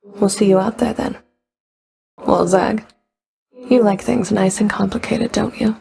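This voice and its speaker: A stern underworld Fury from a video game, her voice heavy with vocal fry at an ordinary female pitch. She is curt, dry and sardonic, giving orders with guarded, grudging authority. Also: steady tone, a little slow, mellow.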